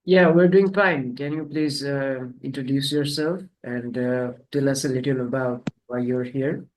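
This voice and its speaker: A man hosting an online webinar, explaining its topics in a measured way. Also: medium pitch, fairly even intonation, rough recording. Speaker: webinar host. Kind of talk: webinar presentation.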